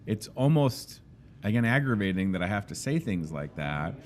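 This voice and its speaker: A man hosting a local talk show, loud and enthusiastic, speaking in an emphatic, animated way. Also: on the low side, normal speed. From Midwestern United States. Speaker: host. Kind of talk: casual interview chat.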